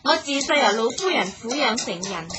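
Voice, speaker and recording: A woman acting a meek, high-voiced warrior maid. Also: expressive, moderate pace, over music, natural voice. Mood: meek, sad. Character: meek, downcast servant maid raised as warrior. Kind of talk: Cantonese period radio drama acting.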